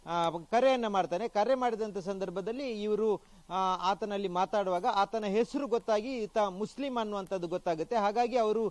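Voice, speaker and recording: A man reporting live news, explaining haltingly and repeating himself. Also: high-pitched, darkish tone, no echo.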